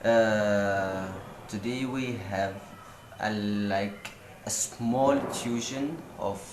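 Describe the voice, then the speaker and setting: A man's voice: on the low side, very slow. Young man, egyptian accent, casual language vlog, echoey room, noisy background. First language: Egyptian Arabic. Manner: loose, repetitive drilling of phrases, many fillers. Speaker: language video host. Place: room at home, seated on a sofa.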